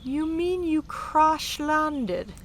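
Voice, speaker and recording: Alien-world countess played by a woman: refined and curious, slow delivery with a creaky edge, for sci-fi audio drama. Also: high voice, lively intonation, a bit bright, a little hiss or hum, full character voice. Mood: concerned.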